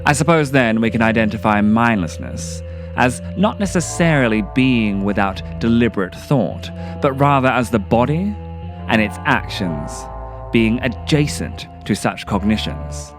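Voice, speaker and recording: A man's voice: medium pitch, expressive, measured pace. A man narrating a scripted video essay in a contemplative, literary manner with theatrical phrasing. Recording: music behind the voice. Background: music.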